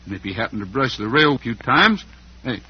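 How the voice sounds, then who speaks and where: Old radio western cowboy hero, level headed and decent, bit husky, muffled sound. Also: male voice, mid-range voice, very animated, fairly quick. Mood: determined, reasoning. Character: steady, fair-minded cowboy hero tracking down the truth. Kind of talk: old-time western radio drama acting. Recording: muffled vintage radio broadcast.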